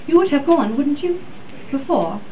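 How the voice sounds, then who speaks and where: A woman voicing a gentle, anxious young Victorian wife from a gothic novel, earnest, polite and questioning. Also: high-pitched, lively intonation, normal speed, phone-quality sound, pitched above the performer's own voice. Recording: distant mic, thin sound, noisy room.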